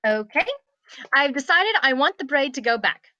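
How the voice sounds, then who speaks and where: A woman's voice: high-pitched, expressive, moderate pace, bright, forceful tone, no room sound, dull audio. A woman playing a haughty, bossy, petulant young princess in a comedic script read, with an imperious, commanding manner.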